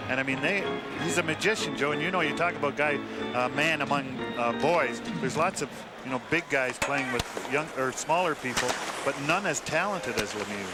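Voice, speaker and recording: A man's voice: mid-range voice, normal speed, over loud music. A man giving live hockey analysis as color commentator, in a conversational, explanatory way.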